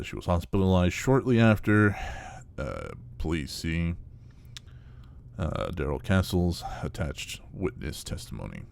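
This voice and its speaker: An actor playing an official investigator who reads out an incident report in a formal, hesitant way for an audio horror drama. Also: male voice, low-pitched, gravelly, a little mellow, heavily acted.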